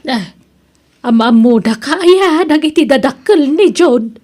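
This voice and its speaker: An actress playing a homely, concerned, questioning woman in an Ilocano radio drama, with an Ilocano accent and a breathy, husky voice. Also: sits high, animated, takes it slowly, darkish tone. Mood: worried, caring.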